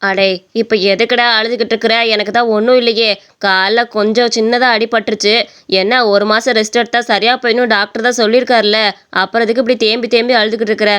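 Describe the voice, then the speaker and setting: A woman's voice: fairly high pitch, flat delivery, quick pace, a bit bright, smooth, lightly acted. A woman voicing a kindly, motherly idli seller who soothes and chides, in a story. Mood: soothing. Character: kindly motherly idli seller, gently scolding her son.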